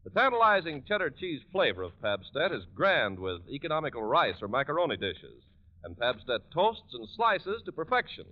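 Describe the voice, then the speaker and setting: An American male announcer delivers scripted copy in polished 1940s radio style. His fairly high voice rises and falls emphatically like a salesman's, on a dull, band-limited vintage recording. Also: huge pitch swings, normal speed.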